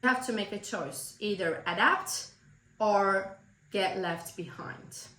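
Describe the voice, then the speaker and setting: A woman from Turkey with a Turkish accent, a business coach giving earnest, emphatic motivational advice straight to the viewer, slightly hoarse from a cold. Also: mid-range voice, takes it slowly, bright, forceful tone, reverberant, a little hiss or hum, rough recording. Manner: earnest, emphatic, direct address.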